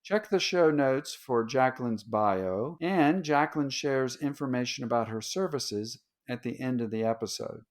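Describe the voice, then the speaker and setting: A man hosting a podcast, reading its scripted intro in an even voice. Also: mid-range voice, normal speed, hardly any pauses. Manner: even, read-aloud delivery. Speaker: podcast host.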